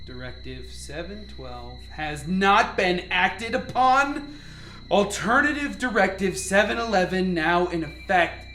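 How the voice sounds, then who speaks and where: A woman's voice: medium pitch, very animated, slow pace, a bit bright. A woman playing the commanding, easily exasperated leader of a scientific team in a radio drama. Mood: angry, exasperated. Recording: roomy echo, faint music bed.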